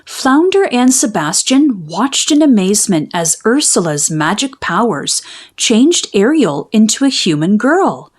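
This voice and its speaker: A woman reading a children's story aloud in expressive, dramatic, carefully phrased narration. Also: mid-range voice, measured pace. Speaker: storybook reader.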